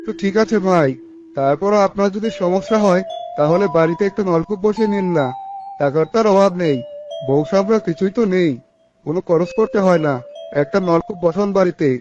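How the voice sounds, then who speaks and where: A man's voice: high-pitched, brisk, close to an everyday voice. A man voicing a friendly, frank village washerman in a cartoon, chatting openly. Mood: advising. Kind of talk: cartoon character voice acting. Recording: dull audio, soft music, birdsong.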